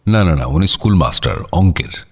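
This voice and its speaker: Deep-voiced, genteel, anxious landed gentleman. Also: expressive, normal speed, creaky, breathy edge, exaggerated voice. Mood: uneasy, hesitant. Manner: courteous, hesitant. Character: genteel, anxious male head of landed family. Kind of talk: radio audio drama acting.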